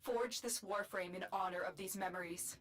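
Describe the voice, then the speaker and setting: A woman voicing a calm, authoritative mission guide in a video game, composed and instructive. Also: medium pitch, normal speed, natural voice. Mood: composed, commanding. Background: loud game music.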